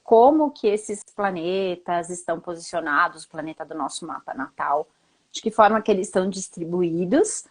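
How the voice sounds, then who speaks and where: A middle-aged woman with a voice of middling pitch, still hoarse after a cold. She speaks as a guest astrologer, laying out her explanations calmly and in measured order. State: hoarse, getting over a cold.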